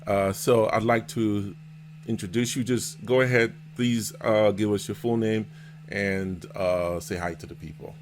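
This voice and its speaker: A man hosting a casual vlog interview as a seller and mentor, explaining things in a halting, repetitive way. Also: lowish voice, fairly even intonation. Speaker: host, Amazon seller and mentor. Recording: noticeable background noise.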